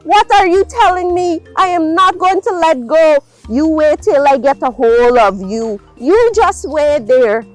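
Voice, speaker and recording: A woman's voice: high voice, lively intonation, measured pace, few pauses, no room sound, faint music behind, voice pushed up. A woman voicing a big, stubborn storybook wife who speaks emphatically and repeats her phrases. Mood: indignant.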